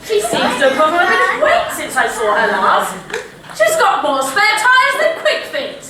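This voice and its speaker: A woman's voice: very high voice, wildly swooping pitch, normal speed, heavily acted. A woman playing a haughty, scheming pantomime villain with an imperious, mocking, theatrical delivery. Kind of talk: broad comic pantomime acting. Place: theatre hall stage. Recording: very echoey hall, distant mic, noisy.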